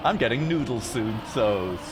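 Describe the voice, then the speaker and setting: A man's voice: mid-range voice, animated, moderate pace, background noise. A man co-casting a live racing esports match, playful and giggly and often joking about himself. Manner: playful, giggly, self-deprecating. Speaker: esports co-caster. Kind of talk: casual live esports commentary.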